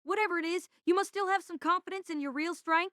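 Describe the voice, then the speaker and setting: A woman voices an eager young male senpai with a high, bright voice. He is a friendly, chatty tinkerer, earnest and full of questions, recorded dry and close in audio drama style. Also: fast talker, no room sound. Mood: curious, earnest.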